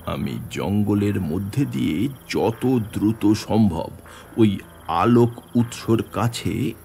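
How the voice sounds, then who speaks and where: A man narrating a horror story in the first person, with suspenseful, theatrical, deliberate phrasing over music. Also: lowish voice, creaky, a little mellow.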